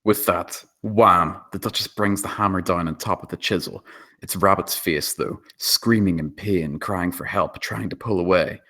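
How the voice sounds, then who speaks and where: A man's voice: low voice, normal speed, bright, forceful tone. A man narrating stage directions in a dramatic screenplay table read.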